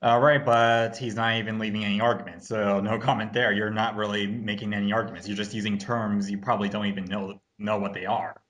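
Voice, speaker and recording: A man hosting a casual rebuttal commentary, speaking in a halting, dismissive and slightly snide way. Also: lowish voice, level tone, a bit bright.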